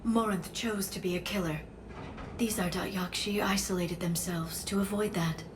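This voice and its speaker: A woman playing a composed alien intelligence expert in a video game, explaining things in a measured, serious way. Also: mid-range voice, fairly even intonation, background noise, natural voice. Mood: grave, explanatory. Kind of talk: video game dramatic dialogue.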